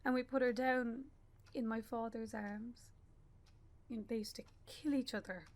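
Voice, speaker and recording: Actress as higher-voiced grieving young Irish mother. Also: fairly even intonation, close to an everyday voice. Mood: sorrowful reminiscing. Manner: halting, with pauses and repeated phrases. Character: grieving young bereaved mother, anguished and stubborn. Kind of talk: naturalistic radio play acting.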